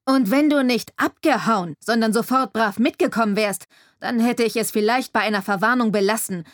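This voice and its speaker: A woman's voice: medium pitch, moderate pace, no echo, studio quality, natural voice. A woman voicing a bullying, heavyset middle-aged male sheriff who talks through a barely opened mouth. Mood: shouting. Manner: menacing, scornful.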